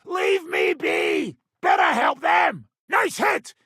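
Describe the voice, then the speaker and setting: An actor playing a brash, foul-mouthed medieval mercenary commander in a video game, with a rough, raspy voice and a coarse, sardonic swagger. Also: male voice, very high voice, huge pitch swings, slow, sharp tone, no room sound, shouting, clean studio sound, full character voice. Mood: furious, battle shouting. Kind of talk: video game character acting.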